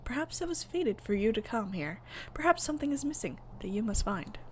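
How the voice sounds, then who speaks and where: A woman voicing a gentle, evasive queen in a visual novel, hesitant and cryptic. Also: on the high side, sing-song, a little fast, a little hiss or hum.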